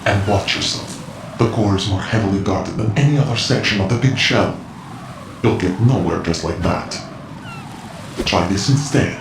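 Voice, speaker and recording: An actor playing a mysterious, cryptic male informant in a video game cutscene, speaking in a measured, lecturing way. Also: on the low side, gravelly. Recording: roomy game mix with loud music.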